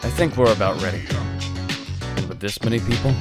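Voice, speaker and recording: A man plays a confident older schoolboy, the team veteran, in an audio drama. He speaks low and businesslike over prominent background music. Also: a little fast, lightly acted. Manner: matter-of-fact, businesslike. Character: serious, confident male high school senior, team veteran. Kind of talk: fan audio drama acting.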